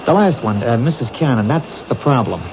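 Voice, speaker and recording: A man's voice: mid-range voice, a little fast, natural voice. A man playing an eager young detective. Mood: reasoning. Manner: earnest, questioning, animated. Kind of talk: 1970s radio drama acting. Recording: dull noisy old radio broadcast.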